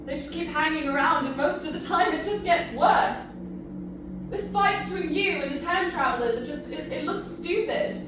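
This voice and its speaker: A woman playing an earnest, disillusioned human pleading in an audio drama, halting and emphatic in her delivery. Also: high-pitched, natural voice. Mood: frustrated, bitter. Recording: very echoey, distant, noisy ambience.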